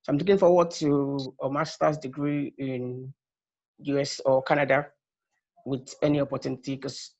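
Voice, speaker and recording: A man from Nigeria introducing himself haltingly as a prospective graduate student on a video call. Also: mid-range voice, flat delivery, darkish tone. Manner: hesitant, halting with many fillers. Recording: muffled video call audio.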